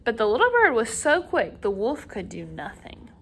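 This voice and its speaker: A woman reading a children's story aloud as a teacher, with expressive, dramatic emphasis for young listeners. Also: fairly high pitch, normal speed, breathy edge. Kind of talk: animated storybook read-aloud.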